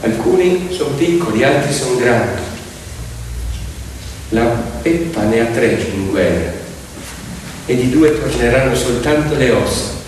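A man narrating as an actor in dramatic stage storytelling, declamatory and deliberate, in an echoey hall. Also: mid-range voice, animated, slight background noise. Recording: echoey hall, distant, loud music behind.

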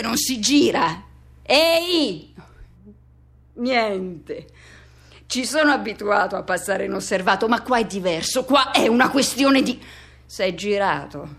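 A woman playing a lonely, self-effacing middle-aged man in an introspective, colloquial radio drama monologue with halting repetitions. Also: on the high side, lively intonation, a bit bright, exaggerated voice. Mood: watchful, resigned.

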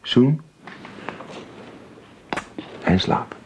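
A man playing a gentle, hesitant widowed father in a Dutch radio drama. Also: drawn-out words, in a whisper, poor audio. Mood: tender. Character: tender widowed father talking to his little daughter.

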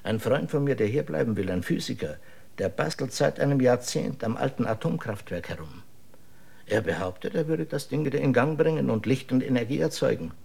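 A man playing a grave, cautious dissident scientist in a German radio drama, husky and measured. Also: medium pitch, expressive, a little mellow. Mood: earnest, cautioning. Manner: measured, deliberate, serious.

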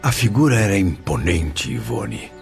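Deep, reflective Brazilian spirit storyteller. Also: male voice, very animated, moderate pace, creaky, heavily acted. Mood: awed, reflective. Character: reflective male spirit recounting his memories. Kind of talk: radio drama narration. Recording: music behind the voice.

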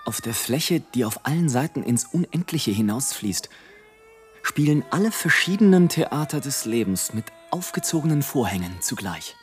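An actor playing a rapturous, scornful airship balloonist in a theatrical, declamatory radio play monologue, swinging between grand exultation and hush. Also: male voice, mid-range voice, animated, normal speed, close to an everyday voice. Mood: marvelling. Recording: studio radio play with music.

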